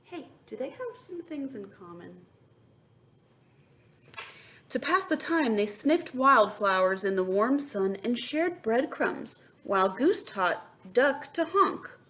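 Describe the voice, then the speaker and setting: A woman reading a children's story aloud in an expressive way, pausing with questions and asides for young listeners. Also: on the high side. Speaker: picture book reader. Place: indoors, book held up to camera.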